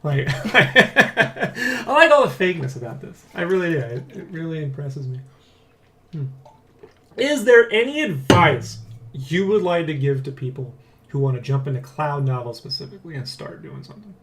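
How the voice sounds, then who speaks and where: A man hosting a development blog in casual, excitable, rambling chat, jokily boastful and swearing freely. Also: fairly high pitch, normal speed, a crowd in the background. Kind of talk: casual vlog chat, addressing viewers.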